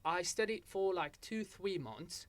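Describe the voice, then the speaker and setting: A man's voice: high voice, lively intonation, a little slow, no echo. A young man from Algeria, a guest in a casual interview, telling his story with frequent restarts and a repeated "so what happened?".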